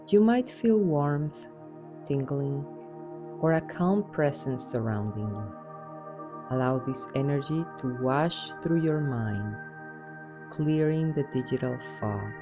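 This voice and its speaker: A woman leading a guided meditation in a soothing, unhurried manner with measured phrases. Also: extremely low pitch, dark tone. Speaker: guided meditation host and Reiki practitioner.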